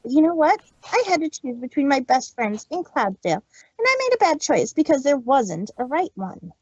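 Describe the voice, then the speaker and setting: A woman voicing a brash, loyal, defiant female pegasus pony in a cartoon fan fiction audio drama, husky and emphatic. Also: high voice, very animated, moderate pace, a little mellow, no room sound, lo-fi sound. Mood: earnest, resolute.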